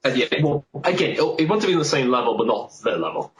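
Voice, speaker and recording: A man talks casually as a podcast co-host, rambling in a chatty way at an average pitch. His voice comes with a clear room echo and a little background noise. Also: expressive, fast. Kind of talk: casual film discussion. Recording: roomy, echoey sound with faint noise.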